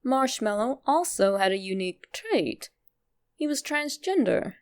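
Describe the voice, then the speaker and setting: A woman narrator delivers a scripted reading. Her voice sits on the higher side and carries a clearly gravelly, creaky fry. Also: sing-song, measured pace. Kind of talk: scripted narration of a fan theory.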